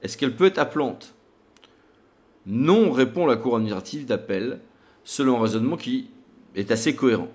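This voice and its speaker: A man's voice: mid-range voice, expressive, normal speed, pauses often. A man presenting a legal tutorial in a didactic, emphatic way, like a lawyer explaining case law to an audience.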